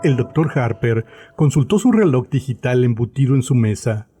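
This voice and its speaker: A man's voice: medium pitch, expressive, moderate pace, noisy background. A man narrating a scripted horror story as the narrator of an audio drama.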